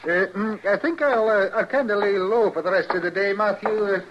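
A man's voice: high-pitched, fairly quick, lightly acted. A man playing a sly, wry frontier storekeeper, chatty and folksy, in an old-time radio western. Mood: sly, pleased. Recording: muffled, hissy old radio broadcast.

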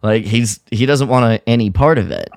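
A man co-hosting a podcast, chatting casually and retelling a story in an animated way. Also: medium pitch, moderate pace, gravelly, dry recording, clean studio sound. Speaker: podcast co-host. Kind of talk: casual review chat about an audio drama.

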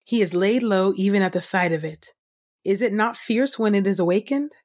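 A woman host reading scripture aloud from a script in a measured, solemn, clearly enunciated way. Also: mid-range voice, darkish tone. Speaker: podcast host and scripture reader. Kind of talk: scripted audio Bible reading.

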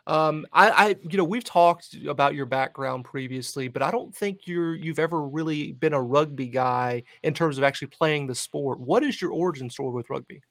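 A man's voice: fairly high pitch, moderate pace, few pauses, a bit bright, no room sound. A man hosting a show and chatting casually with his guest in a jokey, upbeat interviewing manner.

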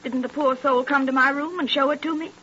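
A woman's voice: high-pitched, fairly even intonation, brisk, bright, forceful tone. An actress playing a talkative, defensive boarding-house landlady in a 1930s radio drama. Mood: indignant. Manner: gossipy, indignant. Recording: noisy, dull 1930s radio recording.

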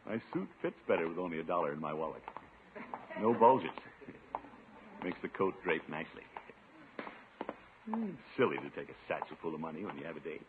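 An actor playing a pompous, blustery, thrifty middle-aged uncle in a chuckling, theatrical 1950s radio sitcom style. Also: male voice, a bass voice. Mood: smug, musing. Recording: noisy, phone-like old radio recording.

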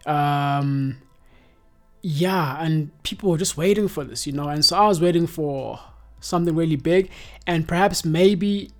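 A man's voice: a little high, a little slow. Man in his twenties, podcast host, easygoing rambling talk, faint background music. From South Africa. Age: 20s. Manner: relaxed, rambling with many fillers. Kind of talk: casual chat.

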